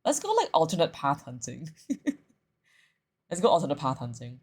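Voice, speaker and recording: A woman livestreaming a game with casual, excitable commentary, often repeating her phrases. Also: low voice, a little slow, husky. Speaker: streamer. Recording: music behind the voice. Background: game music.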